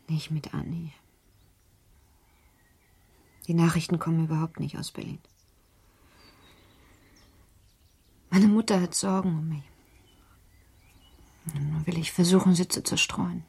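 A woman playing a sorrowful, lonely young gentlewoman in a radio drama, subdued and weary in her delivery. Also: sits low, exaggerated voice. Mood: composed, restrained. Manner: subdued, breathy half-whisper, weary.

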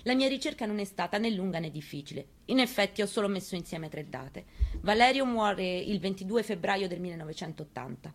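A woman reading a memoir aloud in a radio studio. She tells it in the first person, in a quiet and measured way. Her pitch is mid-range. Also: animated. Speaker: reader.

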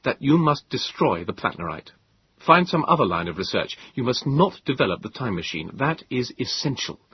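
Male narrator voicing a weary, insistent time traveller; husky, urgent. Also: mid-range voice, big pitch swings, moderate pace, lo-fi sound, voice pushed up. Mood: earnest.

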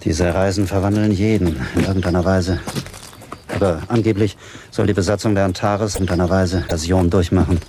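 An actor playing a grave professor who runs space missions in a radio drama, mellow, measured and formal. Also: male voice, low-pitched, fairly even intonation, noisy background, natural voice. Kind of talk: German radio drama acting.

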